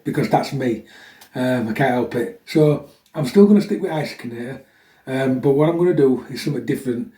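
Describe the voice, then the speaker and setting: A man's voice: medium pitch, warm tone. A middle-aged man chatting casually and bluntly to viewers as a fitness vlogger, a little chesty from a recent infection and often tagging sentences with "yeah?". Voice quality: slightly chesty. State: getting over a chest infection. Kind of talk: casual chat to viewers introducing a workout. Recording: echoey room, mic at a distance.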